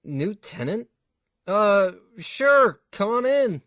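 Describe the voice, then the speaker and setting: A woman's voice: mid-range voice, sing-song, slow, background noise. A woman playing a friendly, easygoing young male student, mild and affable, in an audio drama. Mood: puzzled. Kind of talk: fan audio drama acting.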